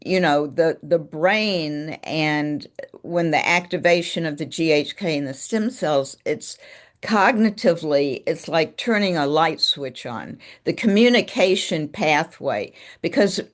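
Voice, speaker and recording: A woman's voice: low voice, lively intonation, a little slow. A woman hosting a live webinar over a video call, encouraging callers and leaning on "you know" fillers. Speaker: webinar host.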